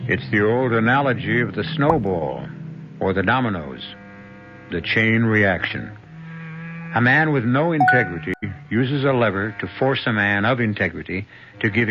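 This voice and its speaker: Male radio drama host, dramatic narration, lo-fi vintage sound. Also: on the low side. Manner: measured, dramatic. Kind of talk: scripted narration. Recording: dull old radio broadcast with music.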